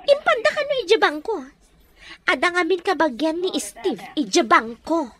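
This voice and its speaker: A bossy, cruel villainess in a radio drama, played by a woman. Her piercingly high voice swoops with scorn as she gives orders. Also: wildly swooping pitch, normal speed, fairly bright tone, heavily acted. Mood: scheming, sharp. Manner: scornful, commanding. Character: cruel, bossy female villain holding a captive. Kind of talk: Ilocano radio drama acting.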